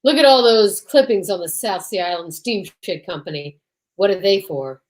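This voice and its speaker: A woman's voice: medium pitch, moderate pace, natural voice. A woman playing a suspicious, needling male police detective in an old-time radio drama, dry and terse. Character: gruff, suspicious male police detective, fond but bossy.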